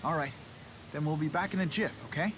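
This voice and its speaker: A man's voice: fairly high pitch, a little fast, noisy background, lightly acted. An actor playing a friendly, polite, earnest young man in a video game cutscene, casual and eager to please. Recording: music under the voice.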